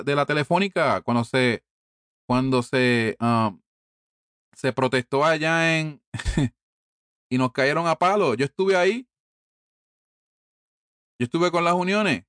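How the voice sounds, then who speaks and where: A man's voice: fairly high pitch, fairly bright tone, no room sound, studio quality. A middle-aged man from Puerto Rico with a Puerto Rican Spanish accent, delivering a heated, profane political monologue full of rhetorical questions.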